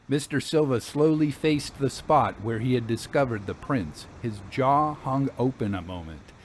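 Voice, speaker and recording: A man narrating a scripted ghost story in the first person with a deliberate, literary, dramatic delivery. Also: mid-range voice, animated, a little hiss or hum.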